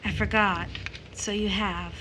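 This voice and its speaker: A woman's voice: mid-range voice, steady tone, a little slow, sharp tone, slight background noise. A woman playing a tender, anxious young sister and daughter in an audio drama, with a hesitant manner.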